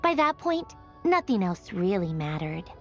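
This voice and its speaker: Game role: aloof female storyteller, wry and musing, very expressive pitch, slightly muffled audio over music. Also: measured pace. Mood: somber, reflective. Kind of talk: scripted dramatic video game narration. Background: background music.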